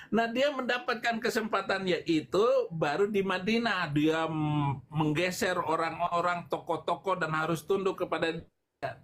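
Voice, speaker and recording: A man giving an extemporaneous religious polemic talk as a preacher on a livestream, explaining in a halting way. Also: on the high side. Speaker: preacher answering questions on a livestream.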